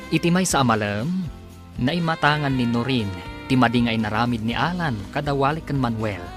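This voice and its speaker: A man announcing and narrating a serial radio drama in a scripted, dramatic style. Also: mid-range voice.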